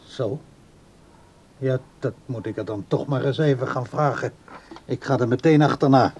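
An actor playing a stubborn, weary old father in a radio drama, speaking in a gruff, curt way. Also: medium pitch, moderate pace, darkish tone. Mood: insistent. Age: older. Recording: faintly noisy radio play recording.

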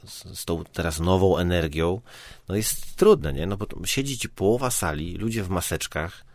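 A man's voice: low voice, animated, moderate pace, darkish tone, dry recording. A man talking casually as a guest, informal and hesitant, thinking aloud and letting sentences trail off. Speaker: podcast guest, stage comedian and improviser. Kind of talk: casual conversation.

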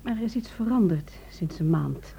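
An actress playing a worried, loving wife, tender and probing, in a radio play. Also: female voice, lowish voice, animated, normal speed, vocal fry, warm tone, a little hiss or hum. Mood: tender, concerned. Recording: dull, lo-fi old radio recording.

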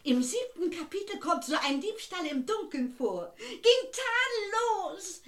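A gleeful professor's wife in radio comedy. The voice is extremely high and chirpy, scatterbrained and very pleased with itself. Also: female voice, very animated, a little slow, fairly bright tone, exaggerated voice. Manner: giggly, chirpy. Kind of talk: German comic radio play acting.

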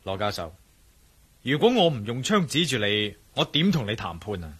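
An actor playing a bold, wary adventurer hero in a radio drama, speaking Cantonese with a Hong Kong accent in a wry, commanding manner. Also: male voice, medium pitch, big pitch swings, moderate pace, fairly bright tone, breathy edge. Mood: tense, threatening. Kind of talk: radio drama acting with spoken inner monologue.

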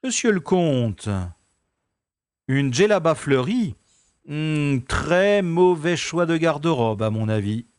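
A man's voice: fairly high pitch, lively intonation, slow pace, clean tone, no echo, studio quality. A man playing an ironic, nonchalant special agent who narrates his own adventure in an audio drama. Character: wry, cocky special agent, adult man. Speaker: narrator-hero.

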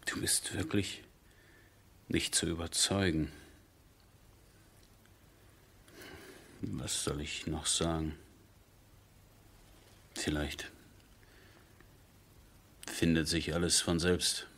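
An actor playing a sober, dutiful army major who speaks in measured, weighty phrases in a 1970s German radio drama. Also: male voice, extremely low pitch, fairly even intonation, vocal fry, a bit bright, exaggerated voice. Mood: resigned, troubled.

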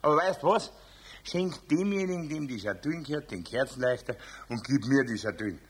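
A man's voice: medium pitch, lively intonation, fairly quick, slightly rough. A man playing a friendly, frank middle-aged electrician in a radio play, with a Bavarian accent and a chatty, direct manner. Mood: frank, piqued. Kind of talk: 1970s children's radio play acting.

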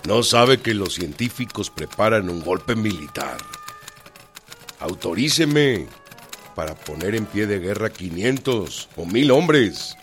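An actor with a Mexican accent playing a proud, blunt revolutionary in a radio drama, speaking emphatically. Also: male voice, fairly low pitch, animated, slow pace, a bit bright, faint music behind. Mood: earnest, urgent.

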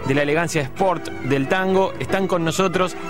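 A man with a Rioplatense accent hosting a radio program, introducing guests and chatting in a relaxed, joking way. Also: on the high side, moderate pace, over music. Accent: Rioplatense Spanish. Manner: relaxed, joking, rambling host. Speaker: radio host. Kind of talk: casual radio chat. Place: radio studio.

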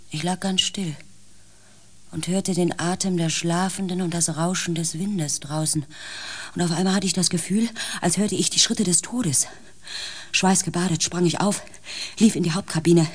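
A woman acting as an uneasy crew member in a science fiction radio drama. Her voice is low and husky, and she speaks with grave, measured restraint. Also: close to an everyday voice. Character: sober, uneasy female crew member recounting a space expedition. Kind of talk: German radio play acting and narration.

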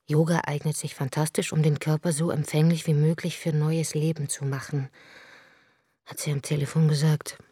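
A woman's voice: sits low, dark tone, slightly rough, no room sound, studio quality. An actress playing a grieving, childless woman who tells her story in a wry, bitter radio drama monologue.

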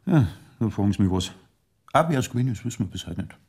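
An actor playing a plodding village police sergeant with a Bavarian accent, husky and hesitant, reporting matter-of-factly in a radio drama. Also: male voice, fairly low pitch, lively intonation, a little mellow, full character voice. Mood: puzzled. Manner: deliberate, matter-of-fact.

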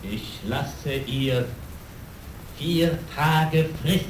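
A man's voice: on the high side, very slow, a little hiss or hum. A man playing a stern knight in a radio play, declaiming solemnly. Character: stern knight granting a monk a brief reprieve. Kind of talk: German radio play acting. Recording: echoey, distant, under loud music.

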